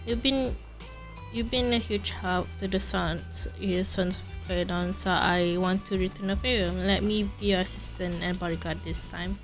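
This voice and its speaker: A woman game streamer reading dialogue aloud during a playthrough, halting and correcting herself as she goes. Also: mid-range voice, steady tone, faint music behind.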